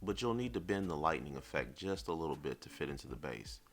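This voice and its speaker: Very deep-voiced man narrating a review. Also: sing-song, fast. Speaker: collectible statue reviewer. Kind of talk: semi-scripted video review narration. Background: background music.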